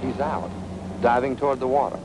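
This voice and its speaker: A man's voice: mid-range voice, flat delivery, a little fast, noisy background. An American man narrating a 1940s military training film in a punchy, colloquial, dramatic storytelling manner. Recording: 1940s film soundtrack with music.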